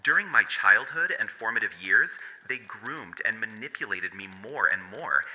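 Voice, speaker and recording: A man's voice: on the low side, animated, moderate pace, bright, forceful tone, muffled recording. Man acting a haunted, intense furry cult survivor, echoing. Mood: anguished, intense. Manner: confessional, solemn storytelling. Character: traumatised male furry cult survivor with nature powers, haunted. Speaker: voice actor. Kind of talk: dramatic audio horror acting. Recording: long hall-like reverb.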